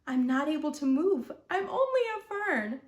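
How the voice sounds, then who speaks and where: A woman's voice: high voice, sing-song, moderate pace, echoey room, pitched above the performer's own voice. A woman voicing a stern, stubborn female potted fern in a storybook, firm and dismissive. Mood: stern refusal.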